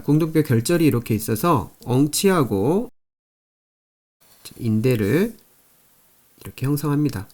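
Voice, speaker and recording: Lively-voiced male anatomy lecturer. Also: mid-range voice. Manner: explanatory, repeats terms, frequent fillers and pointing phrases. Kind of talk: recorded lecture over slides.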